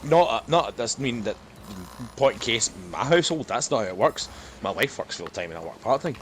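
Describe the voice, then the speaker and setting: A man's voice: medium pitch, expressive, moderate pace. Rambling, jokey man in noisy audio. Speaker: podcast co-host. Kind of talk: casual, sweary banter.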